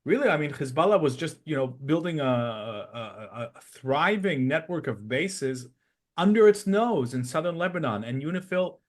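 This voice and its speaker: Higher-voiced man giving political analysis. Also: animated, moderate pace. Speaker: podcast host and security analyst.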